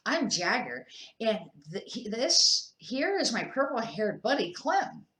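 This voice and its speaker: A woman's voice: a little high, very animated, slow pace, a bit bright, heavily acted. A hearty, folksy monster from a children's storybook, acted out by an older woman. He talks like everybody's pal, chummy and easygoing. The room sounds echoey. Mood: hearty greeting.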